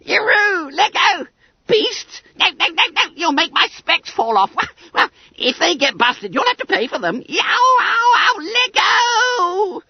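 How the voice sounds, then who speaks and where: A greedy, plump schoolboy fibber from a storybook, with a very high squeaky voice that swoops about. He stammers, splutters and wheedles his way through every sentence. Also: sing-song, moderate pace, breathy edge, dry recording, highs cut off, heavily acted. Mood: panicked yelling.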